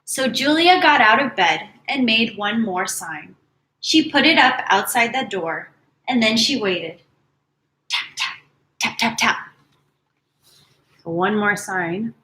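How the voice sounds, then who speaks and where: A woman teacher reading picture books aloud to children live, animated and dramatic, with casual chatty asides. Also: a little high, takes it slowly, pauses often, low-quality recording. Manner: animated, dramatic storytelling mixed with casual commentary.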